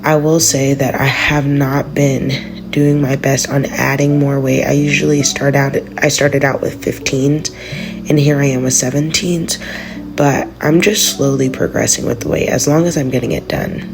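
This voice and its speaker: A congested woman giving a relaxed, rambling voice-over about her gym session. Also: rumbling low, normal speed, creaky, music in the background. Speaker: vlogger. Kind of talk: casual voice-over narration.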